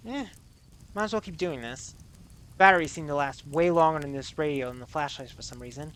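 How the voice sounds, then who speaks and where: A man's voice: a little high, brisk, a bit bright, smooth, natural voice. A man playing a stranded American auto mechanic in an audio drama, giving a wry, weary, rambling monologue as a radio broadcast. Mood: resigned, hopeful. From United States. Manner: wry, sardonic, rambling, profane.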